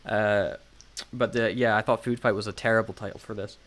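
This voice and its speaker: A man's voice: on the low side, steady tone, fairly quick, a bit bright. Jokey man chatting on a podcast. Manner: jokey, wry, laughs often. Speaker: podcast co-host. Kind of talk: casual chat reviewing audio dramas.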